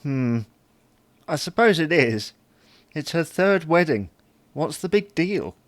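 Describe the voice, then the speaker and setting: A man's voice: a little high, big pitch swings, slow pace, no room sound. A man playing a mild, baffled, henpecked husband with a dry, grudging manner in a domestic audio sitcom.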